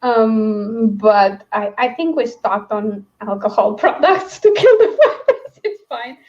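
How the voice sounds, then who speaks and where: A woman's voice: fairly high pitch, sing-song, slow pace, darkish tone, dull audio. A woman hosting a casual book stream, chatting in a rambling, giggly way. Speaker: host of a book read-along stream.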